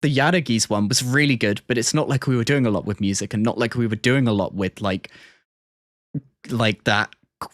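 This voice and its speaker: A man doing casual livestream commentary, reacting and ranking in a halting, think-aloud way. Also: medium pitch, fairly bright tone, no echo, clean studio sound. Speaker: streamer reacting to and ranking game trailers. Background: music.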